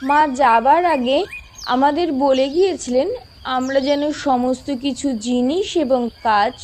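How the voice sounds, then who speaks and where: A woman's voice: high-pitched, a little mellow, slight background noise. A woman voicing a naive, obedient little village girl in a cartoon, speaking simply and earnestly. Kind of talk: Bengali moral-tale cartoon voice acting.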